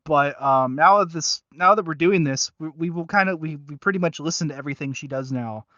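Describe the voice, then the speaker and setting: A man's voice: on the high side, fairly quick, bright, forceful tone, no room sound, muffled recording. A man co-hosting a podcast, chatting casually and off the cuff in a hesitant, rambling way full of filler words. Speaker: podcast co-host. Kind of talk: casual unscripted review chat.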